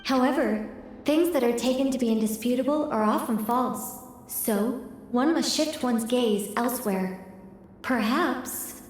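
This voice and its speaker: A woman voicing a composed, courteous mage in a video game, speaking in a measured, formal way. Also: on the high side, heavy reverb, slight background noise, lightly acted. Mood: calm, explanatory.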